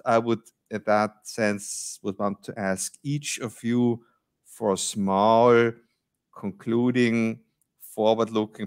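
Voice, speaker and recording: Low-voiced male panel moderator. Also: clean tone. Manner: measured, wraps up politely.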